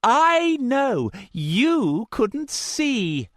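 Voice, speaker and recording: A shrill, unhinged man snarls and screeches in clipped fragments. His voice leaps far above a normal register and blasts loud. The dry, close sound keeps every screech in your ear. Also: sing-song, extremely slow, no room sound, full character voice. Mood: taunting. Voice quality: shrill, ranting in broken phrases. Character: crazed man clutching a cursed charm, unhinged.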